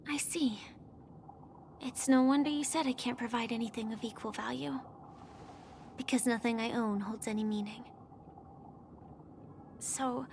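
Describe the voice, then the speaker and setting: An actress voicing a calm, guarded young woman warrior in a video game, speaking softly, seriously and carefully. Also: a little high, a little slow, pauses often, a little hiss or hum. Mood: resigned, guarded. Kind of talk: scripted game dialogue.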